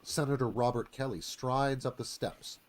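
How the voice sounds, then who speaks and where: A man's voice: medium pitch, normal speed, very noisy. A man giving a dramatic scripted narration, reading stage directions as narrator.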